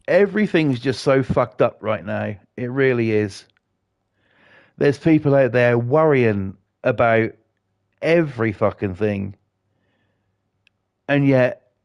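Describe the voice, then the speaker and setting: A middle-aged man with a British accent talks to his viewers in a loose, rambling way, swearing freely as he grumbles. His voice has a gravelly rasp and sounds a little croaky, and his pitch sits at an ordinary middle level. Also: darkish tone, dull audio. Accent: British English. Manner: sweary, ranting, chatty. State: slightly croaky. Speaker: gaming livestreamer. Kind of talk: casual chat while playing a game.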